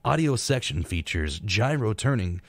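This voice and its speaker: A man with a very low-pitched voice chats casually as a radio co-host. He rambles and drops in wry reactions as he goes. The sound is close and dry, as in a studio. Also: very animated, normal speed, dry recording. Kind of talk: casual, chatty live radio talk. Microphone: close studio microphone.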